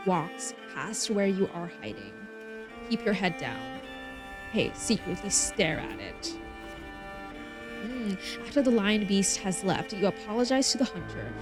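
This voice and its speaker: A woman hosting a game livestream, expressively reading story text aloud and chatting with viewers in bubbly asides. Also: mid-range voice. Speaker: streamer and host.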